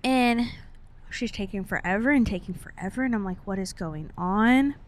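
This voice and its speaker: A young American woman with a medium-pitched voice talks casually as a podcast co-host. She tells stories in a chatty, informal manner, with playful turns of phrase. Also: normal speed. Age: young adult. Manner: informal, chatty, uses playful made-up words. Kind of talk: casual chat, personal storytelling.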